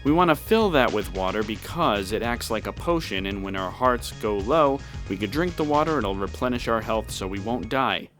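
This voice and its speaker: A man's voice: lowish voice, animated, moderate pace, hardly any pauses, fairly bright tone. A man giving casual walkthrough commentary on a video game. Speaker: gameplay walkthrough host. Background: game music.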